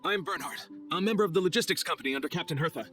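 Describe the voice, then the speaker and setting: A flustered, earnest young-sounding knight clerk from a video game. He talks fast in a bright, highish voice, formal and overly polite, with faint music behind. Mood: urgent, pleading. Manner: formal, earnest, slightly nervous.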